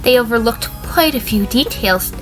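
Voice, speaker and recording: Wary ex-conspirator sheep on the witness stand, played by a woman; higher register, dry, measured audio drama delivery. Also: lively intonation, faint music behind, lightly acted, poor audio. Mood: composed, wary. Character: female sheep defendant, a wary, composed former conspirator. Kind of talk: fan audio drama acting.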